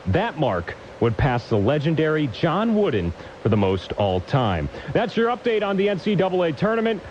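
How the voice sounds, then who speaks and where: A man's voice: a little high, sing-song, normal speed, darkish tone, highs cut off, a little hiss or hum. A man reading a scripted sports update as a broadcaster, with polished, punchy announcer delivery.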